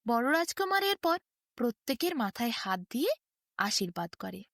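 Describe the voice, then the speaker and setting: A woman with a high voice tells a bedtime fairy tale, her pitch rising and falling in a lively sing-song. The sound is dry and close, with no room around it. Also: moderate pace, dry recording. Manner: sing-song storytelling, quoting figures in her own voice. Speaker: storyteller.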